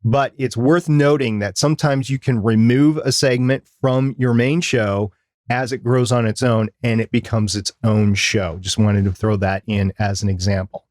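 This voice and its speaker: A man's voice: medium pitch, steady tone, moderate pace, darkish tone, no echo, clean studio sound. A man co-hosting a podcast, explaining things in an informal, conversational way. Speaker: podcast co-host.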